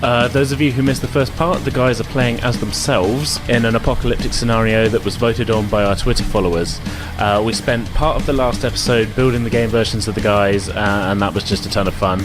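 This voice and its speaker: A man's voice: fairly low pitch, fairly even intonation, fairly quick, hardly any pauses. A man hosting a tabletop podcast as game master, giving an upbeat intro and recap with frequent "uh" fillers. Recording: music bed under voice. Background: music.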